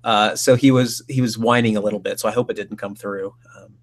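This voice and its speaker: A man's voice: fairly low pitch, fairly even intonation, brisk, slight background noise. A man who is guest host on a book podcast, chatting casually and rambling with many restarts.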